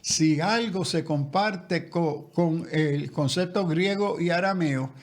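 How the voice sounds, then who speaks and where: Slow, careful pastor explaining theology. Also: male voice, fairly high pitch. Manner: slow, careful, lecturing with restarts. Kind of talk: explanatory interview answers.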